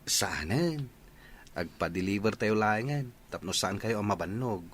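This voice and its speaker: Polite young Ilocano boyfriend, noisy background. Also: male voice, on the low side, animated, a little slow. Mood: polite, eager. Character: devoted young boyfriend, polite and a little shy. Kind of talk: Ilocano radio drama acting.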